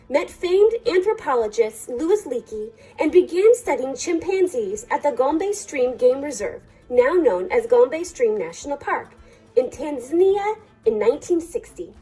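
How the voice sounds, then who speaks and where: A woman reading a picture book aloud for children at storytime, with measured, deliberate phrasing. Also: high-pitched, dark tone, low-quality recording.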